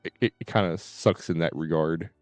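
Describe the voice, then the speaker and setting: A man with a low, smooth voice gives casual game commentary on a stream. He speaks haltingly, often doubling back on his words. The sound is close and dry, with music playing behind him. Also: no echo. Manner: halting, repeats and stutters on words.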